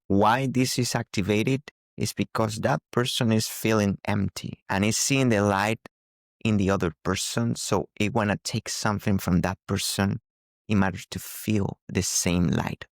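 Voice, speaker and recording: Deep-voiced non-native English-speaking man, teaching. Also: no room sound, clean studio sound. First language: not English. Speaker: channel host and spiritual teacher. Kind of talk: spiritual teaching talk to viewers.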